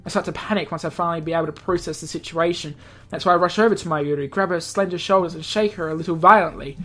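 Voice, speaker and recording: A woman's voice: on the low side, fast talker, a bit bright. A woman reading a visual novel aloud in an amateur, offhand narrating style, sometimes stumbling over words and re-reading them. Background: game background music.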